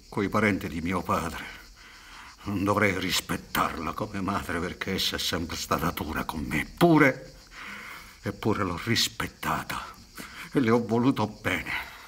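A middle-aged man acting in a radio drama as an aggrieved, proud peasant son, deferential yet impassioned, with a husky voice. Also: on the low side, a bit bright. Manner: deferential, then impassioned and indignant. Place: theatre stage set up for radio recording. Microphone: stand microphone with headphones.

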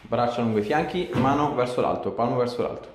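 A man's voice: mid-range voice, fast talker. A man in his thirties, a chiropractor giving short, informal instructions and questions during an exam. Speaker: chiropractor examining a patient. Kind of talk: casual clinical exam chat with instructions. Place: small indoor clinic room. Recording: echoey small treatment room.

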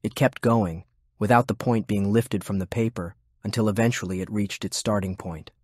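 A man's voice: lowish voice, fairly even intonation, moderate pace, no echo, clean studio sound. A man reading scripted narration as an audiobook narrator.

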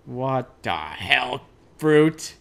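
A man's voice: mid-range voice, big pitch swings, extremely slow. A young adult man giving playful, sarcastic, exclamatory casual commentary while playing a video game. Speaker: let's play host. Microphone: desk USB microphone.

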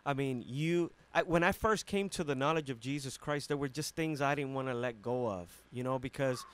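A man's voice: medium pitch, moderate pace, a bit bright, clear, resonant tone. A man co-hosting a radio show with preacherly banter, rhetorical questions and interjected amens. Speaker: radio co-host.

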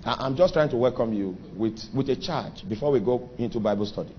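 A man's voice: muffled recording, slight background noise. A Nigerian man preaching a sermon, emphatic, drawing out key phrases and rising suddenly. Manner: emphatic preaching with sudden pitch and volume swings, drawn-out phrases. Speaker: pastor.

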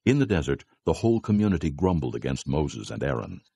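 A man with an extremely deep voice narrates scripture in measured storytelling style. His tone is gravelly and husky, as a scripted narrator. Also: very animated, warm tone, no echo.

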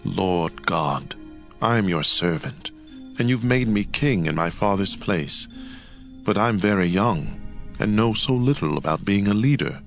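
An actor playing a young, solemn king of ancient times in a dramatized scripture audio drama, speaking in a measured way. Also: fairly low pitch, animated, creaky, soft background music. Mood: humble, reverent.

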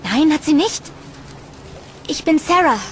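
A kind but anxious young woman, played by an actress, high-pitched and earnest. She is the trusting heroine of a horror audio drama, expressive, over faint ambient noise. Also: measured pace. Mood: friendly, curious.

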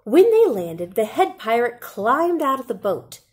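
Woman, children's read-aloud, expressive, slow pace, lively intonation. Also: on the high side, husky. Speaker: teacher reading aloud. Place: indoor classroom.

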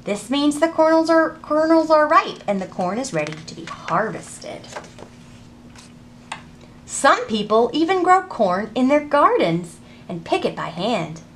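A woman reads and teaches for young children as a storytime host. Her voice sits fairly high and swings widely in pitch. She takes her time, phrasing things in a deliberate sing-song. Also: big pitch swings, slight background noise. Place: public library children's section.

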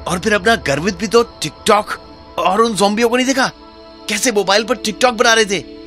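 A man's voice: high-pitched, moderate pace. A man voicing a nervous, chatty young man in a zombie cartoon. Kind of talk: Hindi cartoon dubbing. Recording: voice over loud music.

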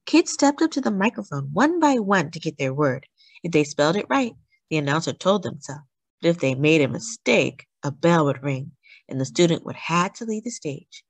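A woman reading a children's picture book aloud as a storyteller, with deliberate, dramatic emphasis on key words. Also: sits low, lively intonation, no echo. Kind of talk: expressive read-aloud narration for children.

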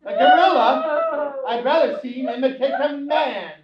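A man's voice: very high voice, moderate pace. A man playing a grumbling, sarcastic, exasperated father of a wealthy family in a staged radio play. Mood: fed up. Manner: sarcastic, blustering. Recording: echoey stage, far mic, muffled.

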